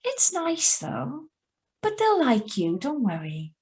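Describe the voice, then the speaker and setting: A troubled young woman played by an actress with a fairly high voice. She is hesitant and brooding, as the heroine of a radio suspense play read live over a video call. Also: huge pitch swings, slow, darkish tone, exaggerated voice. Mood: polite, gentle. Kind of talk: radio drama acting with first-person narration. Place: remote, on a Zoom video call.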